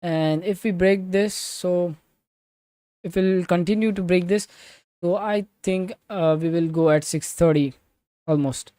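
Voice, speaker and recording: A man from Switzerland giving live crypto market commentary and answering chat, halting with many fillers, restarts and loose grammar. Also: high-pitched, warm tone, no echo, clean studio sound. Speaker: crypto trading livestreamer.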